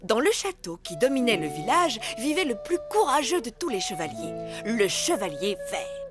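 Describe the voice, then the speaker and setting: A woman voicing a gentle turtle mother in a cartoon, reading a storybook aloud expressively and playfully. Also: on the high side, very animated, normal speed, over music. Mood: gentle, storytelling. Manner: dramatic, playful.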